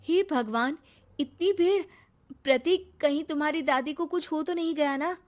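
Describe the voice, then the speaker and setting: A woman's voice: high voice, moderate pace, voice pushed up, lightly acted. A woman voicing an easily amazed young daughter-in-law in a cartoon story, exclamatory and wide-eyed. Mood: shocked, amazed.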